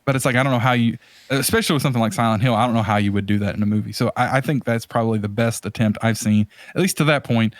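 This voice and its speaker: Male podcast host, mid-range voice, dry close sound, casual and rambling delivery with halting searches for the right word. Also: mid-range voice, no room sound.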